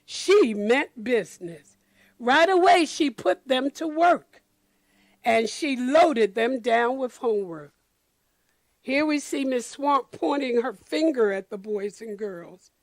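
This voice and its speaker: Slow, high-voiced woman storyteller for children. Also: no echo. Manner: deliberate, expressive, addressing children directly. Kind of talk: children's picture book read-aloud with commentary.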